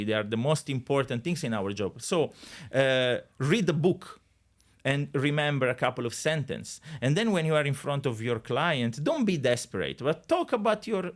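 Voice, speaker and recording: A middle-aged Italian man, a guest on a podcast, speaking English with an Italian accent in an animated, jokey way, with many restarts. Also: mid-range voice. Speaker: guest, real estate agent. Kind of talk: casual podcast conversation.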